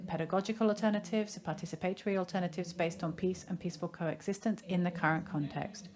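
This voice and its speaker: A woman giving a simultaneous interpretation of a seminar in a measured, formal way, pausing now and then to find her phrasing. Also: medium pitch. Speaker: conference interpreter.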